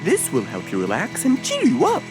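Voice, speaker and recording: A woman's voice: a little high, huge pitch swings, normal speed, music in the background. A woman voicing a kind, helpful male fox in a children's cartoon, with an exaggerated, reassuring, sing-song delivery.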